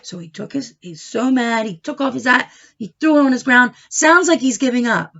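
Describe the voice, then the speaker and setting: Animated high-voiced woman reading to children. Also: vocal fry, highs cut off. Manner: expressive, coaxing, pauses to question and prompt young listeners. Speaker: storyteller reading a picture book aloud to children. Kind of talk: animated children's read-aloud with questions to the listeners.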